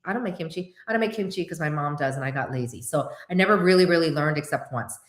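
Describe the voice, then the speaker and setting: A woman in her forties, born to Korean as a first language, hosting a casual livestream chat and answering viewers in an animated way. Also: low voice, fast, roomy sound. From United States. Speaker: host.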